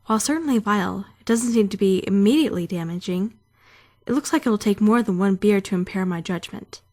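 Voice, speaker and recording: A woman's voice: medium pitch, brisk, a little mellow, lightly acted. A woman voicing a prim, socially awkward young trainee who narrates a visual novel fan dub in formal, bookish language. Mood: composed, unsure.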